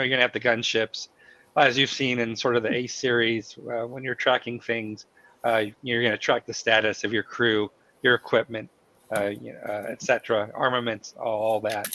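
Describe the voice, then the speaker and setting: A man with a cold hosting a live company stream, talking in halting bursts full of fillers and restarts. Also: medium pitch, pushed and bright, dry recording. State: has a cold, losing his voice. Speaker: host of company town hall stream.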